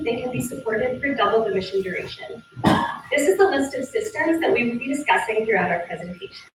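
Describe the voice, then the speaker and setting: A young woman from Texas giving a prepared team presentation as a student. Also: on the high side. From Texas, United States. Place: large echoey indoor room. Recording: echoey hall from far off, noisy.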